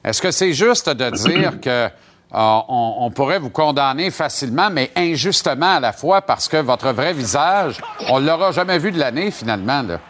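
A man's voice: medium pitch, expressive, moderate pace, a bit bright. A man with a Quebec French accent hosting a casual sports interview, colloquial and opinionated. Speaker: sports show host interviewing a guest.

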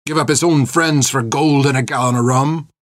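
A gruff old reformed pirate, played by a male narrator, haunted and fierce yet tender underneath. He talks in folksy, ungrammatical seaman's speech, in a dramatic audiobook performance. The sound is very dry and close. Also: medium pitch, normal speed, dry recording, natural voice. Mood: bitter fury. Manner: folksy, ungrammatical rustic old-sailor talk. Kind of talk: dramatic audiobook character acting.

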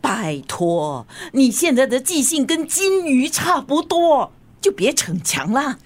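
A woman's voice: high-pitched, big pitch swings, slow pace, heavily acted. An actress playing a bossy, protective middle-aged mother in a radio drama. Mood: nagging, fussing. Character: feisty, bossy, protective mother of a grown daughter. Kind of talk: Chinese radio drama acting.

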